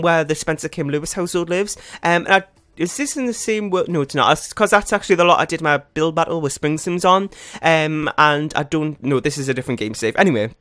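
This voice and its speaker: Young male YouTuber, croaky morning voice, fast and chatty, dry close sound. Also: a little high, fairly bright tone, no echo. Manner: fast, rambling talk with many fillers. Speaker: game building YouTuber. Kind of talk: casual gameplay voiceover. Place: his bedroom at home.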